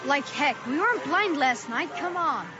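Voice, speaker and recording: A woman playing a bold, defiant thirteen-year-old small-town boy in a radio drama, brash and excitable. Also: high-pitched, normal speed, noisy background. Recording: dull lo-fi audio with music.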